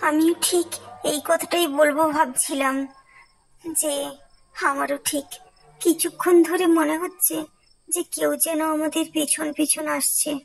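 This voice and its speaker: High-voiced, bossy young friend played by a woman. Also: fairly even intonation, a little slow, gravelly, long pauses, faint music behind, rough recording. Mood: uneasy. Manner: chatty, questioning, emphatic. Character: curious, bossy young friend leading an adventure.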